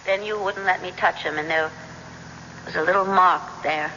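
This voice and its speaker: Young rookie lady cop, earnest n hesitant, forceful bright voice, radio play, reverby noisy old tape. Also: lowish voice, steady tone, moderate pace. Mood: uneasy, hesitant. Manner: questioning, hesitant, persistent. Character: earnest, observant young female rookie police detective. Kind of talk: 1970s radio drama acting. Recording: dull, noisy, reverberant 1970s radio recording.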